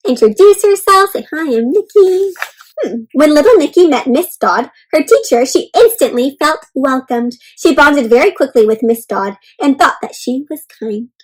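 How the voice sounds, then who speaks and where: Woman, very high voice, interactive kids' read-aloud, teacherly, expressive, question-filled delivery. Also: moderate pace, rough recording. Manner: addresses young listeners with questions and commentary. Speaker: children's read-aloud host. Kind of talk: interactive storybook read-aloud for young children.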